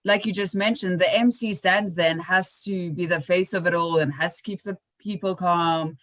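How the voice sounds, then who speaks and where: Young woman, lowish voice, chatting informally. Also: fairly even intonation, normal speed, fairly bright tone, no echo. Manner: informal, acts out remembered remarks. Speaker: podcast host. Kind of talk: casual anecdotal chat.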